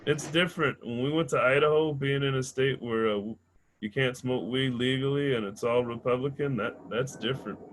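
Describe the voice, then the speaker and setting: A man's voice: mid-range voice, a little fast, slight background noise, rough recording. A man hosting a comedy show, chatting loosely with the audience and introducing the next act, swearing casually.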